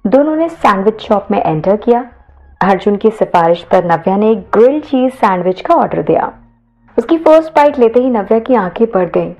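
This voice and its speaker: A woman's voice: mid-range voice, animated, moderate pace, a little mellow, muffled recording, soft background music. A woman narrator reading a scripted audio story.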